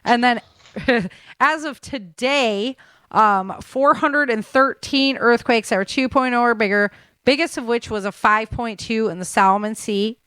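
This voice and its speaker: A woman's voice: a little high, a little slow, fairly bright tone, clear, resonant tone, no room sound. Casual chatty woman hosting, dry close sound. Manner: chatty, informal, addresses listeners as guys. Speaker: podcast host. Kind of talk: casual news rundown and chat.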